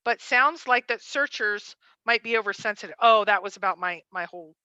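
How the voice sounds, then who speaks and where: Bright-voiced woman chatting on a livestream. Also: medium pitch, brisk, no room sound, highs cut off. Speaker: livestream co-host. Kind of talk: casual livestream commentary, reading viewer comments.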